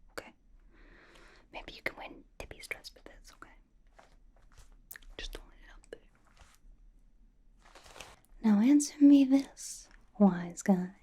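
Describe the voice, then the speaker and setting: A woman's voice: medium pitch, warm tone, breathy edge. A woman playing a quirky, playfully suspicious young superheroine in an ASMR roleplay, coaxing and questioning in an airy whisper. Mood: coaxing, teasing, then mock-stern questioning. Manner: coaxing, playful mock-interrogation, repeats phrases. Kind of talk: ASMR roleplay, personal attention.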